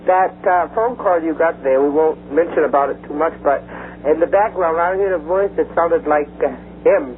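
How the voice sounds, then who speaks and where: Male caller with a fairly high voice, halting and guarded, heard over a tinny telephone. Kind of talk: casual phone chat. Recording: noisy, thin telephone line.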